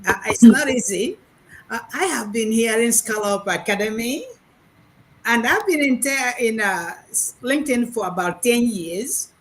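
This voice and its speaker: A woman in her sixties with a Nigerian accent, giving a chatty, halting speech over a video call at an online graduation. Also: on the high side, low-quality recording. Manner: chatty and animated, restarts and repeats words, hesitant fillers. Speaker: graduating program participant, retired math lecturer.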